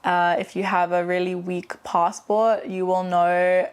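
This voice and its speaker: A woman's voice: fairly low pitch, little pitch movement, measured pace, smooth. A woman in her late twenties from South Africa, whose South African accent carries British and American traces, talking candidly in a casual personal vlog with frequent fillers. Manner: chatty and candid, with frequent fillers and self-corrections. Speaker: vlogger and aspiring novelist.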